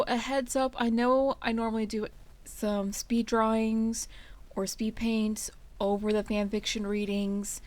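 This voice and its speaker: A woman's voice: on the high side, a little mellow, no room sound. A woman hosting and narrating a fan fiction reading in a casual, storytelling style. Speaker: fan fiction reader and channel host.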